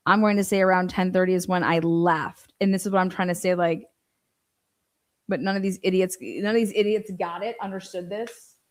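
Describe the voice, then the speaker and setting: A woman's voice: lowish voice, steady tone, brisk. Skeptical woman doing informal case commentary. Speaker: true-crime commentator. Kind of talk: informal case commentary, reading transcript aloud with asides.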